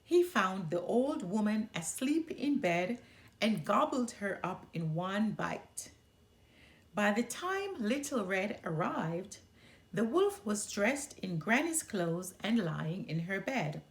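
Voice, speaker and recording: A woman narrating a children's story as a storyteller, expressive and deliberate. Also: mid-range voice.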